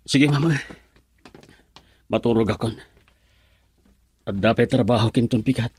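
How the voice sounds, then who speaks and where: A man's voice: mid-range voice, unhurried, close to an everyday voice. A man acting in an Ilocano radio drama as a heartbroken, defensive drinker who broods over his lost love. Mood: tipsy, despondent.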